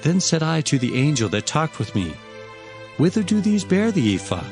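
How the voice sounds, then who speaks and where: A man playing a reverent prophet who recounts visions in measured, formal, declamatory scripture narration. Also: on the high side, very animated, soft background music. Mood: awed, measured.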